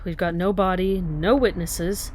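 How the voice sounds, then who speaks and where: Female police detective, played by an actress, low register, blunt, profane, sardonic, short-tempered, for a crime audio drama. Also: steady tone, measured pace, a little mellow, slight background noise, close to an everyday voice. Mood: frustrated. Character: Kate, foul-mouthed, furious, sardonic female police detective.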